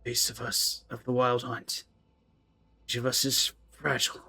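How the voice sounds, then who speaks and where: Earnest, grave game soldier, read aloud by a man, measured delivery, mid pitch. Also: little pitch movement, creaky, pushed and bright, slightly rough, full character voice, rough recording. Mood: solemn, resolute. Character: earnest soldiers speaking gravely over a wounded captain. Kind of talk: reading game story dialogue aloud.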